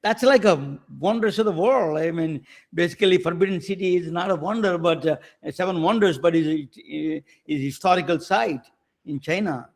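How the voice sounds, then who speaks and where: Halting man, slightly high voice. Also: gravelly. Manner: halting, searching for words. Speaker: interview co-host.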